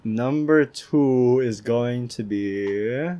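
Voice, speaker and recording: A young man from the Philippines chatting casually in a slangy, flat monotone way, ranking his favorite fruits. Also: mid-range voice, drawn-out words, no echo. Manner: laid-back slangy talk, frequent swearing.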